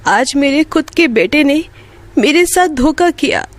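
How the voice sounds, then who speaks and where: A woman plays a poor old mother from a village in a bedtime story. She is loving and plain-spoken, with a motherly way of talking. Her delivery is unhurried and the sound is dry. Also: high voice, animated, dry recording. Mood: distraught. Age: 60s. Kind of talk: Hindi bedtime moral story dialogue.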